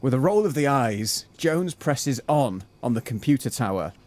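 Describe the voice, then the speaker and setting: A man's voice: mid-range voice, big pitch swings, moderate pace, darkish tone, lightly acted. A man narrating a comic detective audio drama in a scripted, matter-of-fact storytelling style. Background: guitar music.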